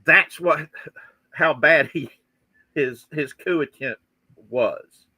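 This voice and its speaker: A man with a Southern US accent co-hosts a casual political chat, folksy and crude. He talks slowly with a bright, forceful tone. The mic sounds close and dry. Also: fairly high pitch, slightly rough, dry recording, rough recording. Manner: folksy, crude jokes, self-described redneck.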